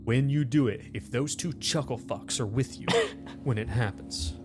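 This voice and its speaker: A man's voice: mid-range voice, moderate pace, music in the background, lightly acted. A man voicing a tense, threatening, foul-mouthed woman agent in a tabletop game, clipped and insistent as she smokes. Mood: bitter, threatening. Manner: clipped, insistent, mumbling around a cigarette.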